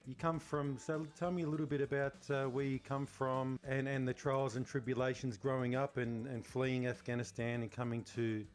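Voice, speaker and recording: A man, co-hosting a podcast and asking questions in a casual, halting way. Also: medium pitch, flat delivery, faint music behind. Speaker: podcast co-host. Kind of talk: casual chat, interviewing.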